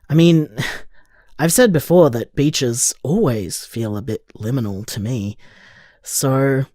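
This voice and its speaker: A man's voice: on the high side, darkish tone, dry recording, clean studio sound. An actor playing an affectionate, easygoing, thoughtful man, musing casually in a naturalistic audio drama voicemail.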